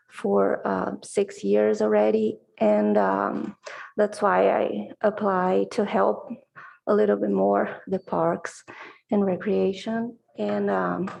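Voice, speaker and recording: A woman's voice: medium pitch, little pitch movement, slow pace, gravelly, a little mellow. A middle-aged woman from Brazil, Portuguese her first language, answering interview questions hesitantly with many fillers over a video call. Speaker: commission applicant. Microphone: video call mic.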